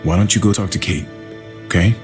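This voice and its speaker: A steady, reassuring male survivor voiced by a game actor who leads with earnest calm. His voice is fairly low, and music plays clearly behind him. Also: brisk, lightly acted. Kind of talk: video game voice acting.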